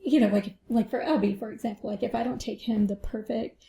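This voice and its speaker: A woman's voice: on the high side, steady tone, fairly quick, gravelly, dark tone. A woman financial advisor answering interview questions in a reflective way, often adding "you know" and "right?".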